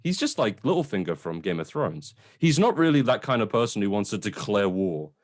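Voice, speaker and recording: A man's voice: on the low side, sing-song, a little fast. A man co-hosting a casual, conversational film review, informal and sometimes sweary as he qualifies his opinions.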